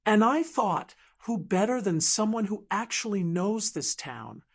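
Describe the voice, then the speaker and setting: A woman acts out a polished, manipulative businesswoman in an audiobook drama. She speaks smoothly and slowly, with sugary condescension and lively swings of pitch. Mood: persuasive, smooth.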